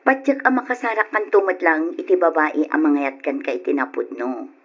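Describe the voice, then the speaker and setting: A woman's voice: low-pitched, moderate pace. An actress playing an emotional, pleading and reproachful woman in an old Ilocano radio drama. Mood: stern scolding. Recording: dull lo-fi radio drama recording.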